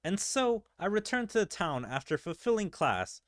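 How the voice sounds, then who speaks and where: A man's voice: high-pitched, very animated, normal speed, soft background music. A man reading game story aloud and adding casual, rambling commentary as a streamer. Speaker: gaming streamer.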